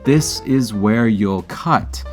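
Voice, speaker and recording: A man's voice: lowish voice, drawn-out words, faint music behind. A man hosting a DIY show, narrating a scripted tutorial in an upbeat, playful way with chatty asides. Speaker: host of a DIY creator show.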